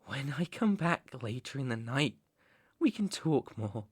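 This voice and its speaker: Man acting a slow-spoken, menacing British mafia boss. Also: medium pitch, sing-song, husky, no room sound, studio quality. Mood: soft, condescending. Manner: slow, deliberate, brooding, condescendingly affectionate. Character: possessive, unstable male mafia boss, menacingly tender ex-lover. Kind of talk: ASMR roleplay, dramatic monologue.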